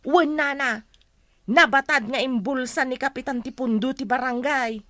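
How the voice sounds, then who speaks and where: A woman acting a gossipy Ilocano village woman in a comedy drama. Her voice sits very high and is recorded close and dry. She talks in a chatty, teasing way. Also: moderate pace, fairly bright tone, no echo.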